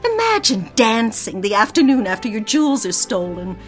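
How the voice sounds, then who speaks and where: A woman's voice: a little high, big pitch swings, moderate pace, soft background music. A woman playing a chatty, opinionated hotel switchboard operator who loves gossip, in a radio detective drama. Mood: indignant, gossipy.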